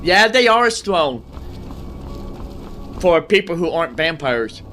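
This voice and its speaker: A man's voice: on the high side, very animated, takes it slowly, bright, forceful tone, soft background music. A man giving casual gameplay commentary in a folksy, rambling way, explaining things and talking to himself. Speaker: gaming host.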